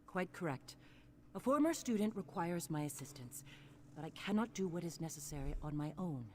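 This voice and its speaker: A woman voicing a commanding, perceptive mentor in a video game, speaking in a formal, deliberate and imperious way. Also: lowish voice, lively intonation, darkish tone, lightly acted. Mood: grave, instructing. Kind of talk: video game character acting. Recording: faint background noise and game ambience.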